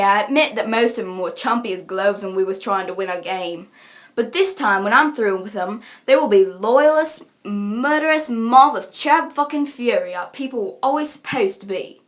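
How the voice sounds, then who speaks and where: A woman's voice: fairly high pitch, fairly bright tone, pitched below the performer's own voice, close to an everyday voice. A woman voicing a brash, scheming troll girl with slangy, cocky swagger for a cartoon character reel.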